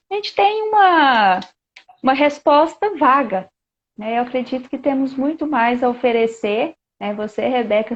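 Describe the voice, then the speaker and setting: A Brazilian woman hosting a live online chat, talking casually with plenty of fillers. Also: fairly high pitch. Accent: Brazilian Portuguese. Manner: chatty, reads viewer comments aloud, many fillers. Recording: dull lo-fi live-stream audio.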